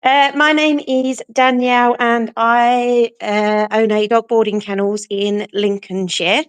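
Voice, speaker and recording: A woman's voice: fairly high pitch, flat delivery, slow, clean tone, no echo. A woman, friendly and a little unsure, introducing herself on a video call. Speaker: kennel owner and hypnotherapist.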